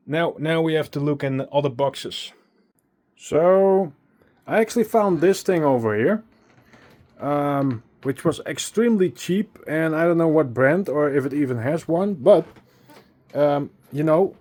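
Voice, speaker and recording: A man's voice: on the high side, lively intonation, a little slow, a little mellow. A young man with a Dutch accent vlogging casually from his small home studio, chatty and self-deprecating, a little out of breath. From Netherlands. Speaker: music producer vlogger. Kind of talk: casual vlog talking to camera. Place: small home studio with acoustic foam, indoors. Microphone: handheld phone at arm's length.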